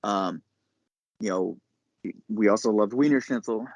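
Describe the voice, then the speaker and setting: A man, probably middle-aged, talking casually as a podcast guest, explaining things in a halting, reflective way. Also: lowish voice, a little mellow, no room sound. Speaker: podcast guest, chef and caterer. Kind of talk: casual interview chat.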